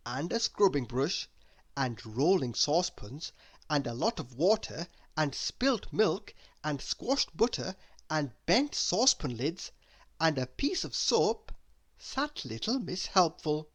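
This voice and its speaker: Slow, animated woman reading a storybook. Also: deep voice. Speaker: storybook reader. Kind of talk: expressive children's story narration.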